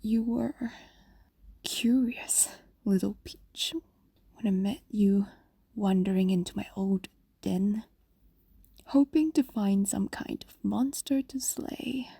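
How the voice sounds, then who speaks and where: A woman voicing an ancient, lovestruck female fox demon in an intimate audio roleplay, whispering softly and coaxingly with lingering pauses. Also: medium pitch, dry recording, studio quality, heavily acted. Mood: wistful, fond. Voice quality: soft, breathy whisper. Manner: intimate, slow, pausing, coaxing. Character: possessive, lovestruck female fox demon, centuries old, tender. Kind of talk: ASMR-like fantasy audio roleplay.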